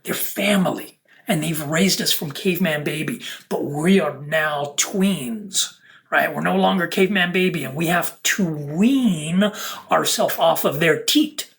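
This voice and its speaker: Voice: medium pitch, measured pace, gravelly, a bit bright. A speaker delivering an unscripted, preachy monologue to viewers in emphatic, broken-up phrases. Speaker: content creator.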